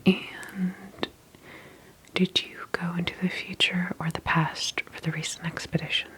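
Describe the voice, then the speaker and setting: A woman doing a soft-spoken ASMR roleplay as a calm healthcare specialist, whispering gently and attentively in a breathy, unhurried, reassuring professional way. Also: on the low side, low-quality recording.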